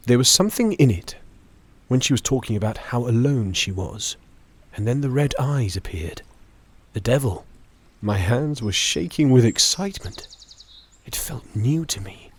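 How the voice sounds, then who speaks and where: Husky, reflective gentleman. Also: medium pitch, very animated, a little slow. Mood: musing, confiding. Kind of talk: theatrical audio drama acting.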